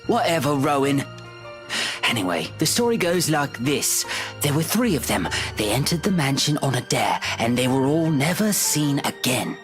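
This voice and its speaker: Man voicing an animated, excitable gossiping schoolkid. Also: mid-range voice, normal speed, faint music behind, lightly acted. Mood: insistent. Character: excitable gossiping schoolkid telling scary rumours. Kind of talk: animated game dialogue.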